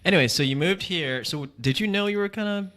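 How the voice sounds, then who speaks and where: A man's voice: high voice, expressive, brisk, fairly bright tone. A man co-hosting a podcast, chatty and playful, jumping from one question to the next. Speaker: podcast co-host. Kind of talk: casual interview chat.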